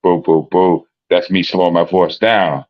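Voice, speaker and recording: A man with a deep voice, a guest in a casual livestream chat, laid-back and repeating his phrases. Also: flat delivery, unhurried. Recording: dull lo-fi call audio.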